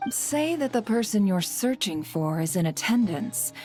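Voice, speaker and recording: Cryptic female guild head, middle register, measured, courteous, formal game dialogue. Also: mid-range voice, very animated, a little mellow, soft background music. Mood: measured, firm. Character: poised, cryptic woman heading a secretive trade guild. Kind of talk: video game character acting.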